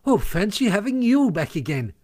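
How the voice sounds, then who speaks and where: A warm-hearted storybook mole, played by a man, with a high voice. He is earnest and exclamatory in the way he talks. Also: expressive, moderate pace, no echo, clean studio sound. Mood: delighted. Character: eager, warm-hearted, easily impressed male mole. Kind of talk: storybook character voice.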